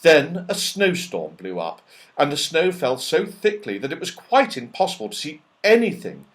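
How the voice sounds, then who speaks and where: A man's voice: on the high side, very animated, moderate pace. A man narrating a children's story expressively, with dramatic and emphatic phrasing. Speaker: audiobook narrator.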